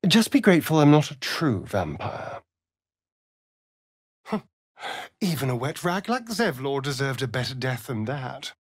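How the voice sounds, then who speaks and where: An actor playing a sly, vain vampire rogue in a video game, with a silky, theatrical, mocking drawl. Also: male voice, mid-range voice, husky, no echo, studio quality, heavily acted. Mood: sardonic, amused. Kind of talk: video game character voice lines.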